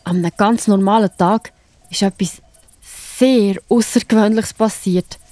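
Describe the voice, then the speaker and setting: Mellow Swiss woman telling children's stories. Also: medium pitch, fairly even intonation, measured pace, a little hiss or hum. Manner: chatty, addresses listeners directly. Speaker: narrator. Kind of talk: children's story narration.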